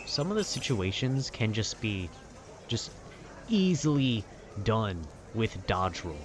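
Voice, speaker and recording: A man in a calm, casual, nerdy ramble about video games, meandering and often interrupting himself. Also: on the low side, slow, soft background music. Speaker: solo audio creator rambling to the listener. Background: urban ambience.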